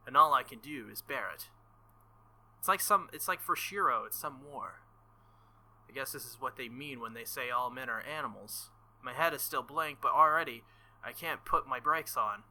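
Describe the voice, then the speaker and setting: A man's voice: medium pitch, normal speed, pushed and bright. A man reading a visual novel aloud in a playthrough, adding playful, joking comments. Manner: playful, joking asides and laughter. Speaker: let's play streamer.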